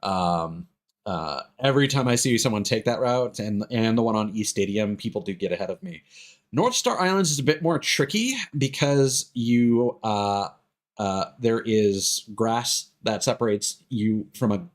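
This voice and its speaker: A man's voice: fairly low pitch, moderate pace. A man streaming a video game, giving casual commentary in a rambling, explanatory way that halts mid-thought. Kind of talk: casual live gameplay commentary. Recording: game music behind the voice. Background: video game music.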